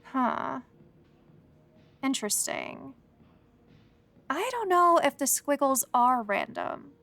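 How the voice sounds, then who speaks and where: An actress playing a sarcastic, curious young reporter in a comedy audio drama. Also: female voice, high voice, lively intonation, slow pace, vocal fry, fairly bright tone, full character voice. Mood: intrigued. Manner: sarcastic, teasing. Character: wry, curious young female reporter chasing a story.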